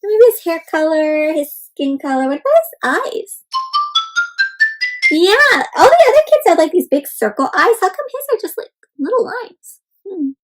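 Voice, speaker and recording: A woman's voice: very high voice, big pitch swings, moderate pace, soft background music, low-quality recording. A woman hosting an interactive storybook read-aloud for young children, often putting questions to her listeners and addressing them as friends. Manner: asks the listeners frequent questions.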